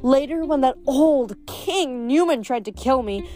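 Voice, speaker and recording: High-pitched female, sweet ancient goddess played by a woman reader, gushing, giggly, breezy, theatrical, storybook character. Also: expressive, a little slow, warm tone, faint music behind. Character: sweet, breezy, fawning ancient goddess, youthful pretty lady.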